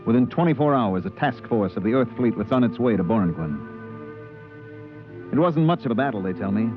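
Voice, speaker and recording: An actor playing a decent, wary spaceship purser, telling and acting the story in 1950s radio drama style. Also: male voice, mid-range voice, expressive, brisk, lightly acted. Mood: reflective. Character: plain-spoken male spaceship purser, wary, decent, reflective. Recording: narrow-band old radio with music.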